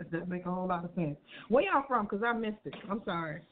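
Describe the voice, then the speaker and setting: Chatty slangy interview host, quick to laugh, over a tinny phone line. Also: mid-range voice, expressive, slight background noise.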